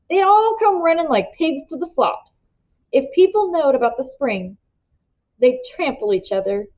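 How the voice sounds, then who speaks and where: An earnest older country father from a storybook read by a woman, weary and pleading. The speech is folksy and plain, with an urgent, philosophical bent. The recording is a little dull. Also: on the high side.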